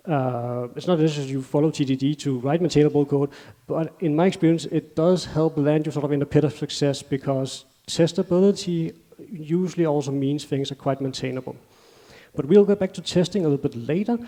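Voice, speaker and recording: A man's voice: a little high, dark tone. A man with a Danish accent giving a technical conference talk on stage, in a conversational way with loose phrasing and self-corrections. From Denmark. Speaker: conference speaker. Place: conference stage in a large hall. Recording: long hall reverb.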